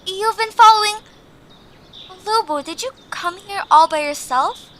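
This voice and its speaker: Very high, bright, timid young heroine. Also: female voice, measured pace, heavily acted. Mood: worried, timid. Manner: hesitant, stammering, earnest. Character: anxious, kindhearted young female adventurer. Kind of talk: animated audio drama acting.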